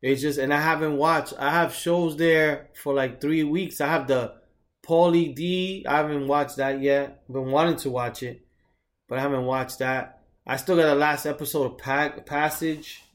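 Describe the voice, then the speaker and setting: A man's voice: on the high side, steady tone, moderate pace. A man in his thirties co-hosting a podcast, telling laid-back stories in casual chat and swearing now and then. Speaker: podcast co-host.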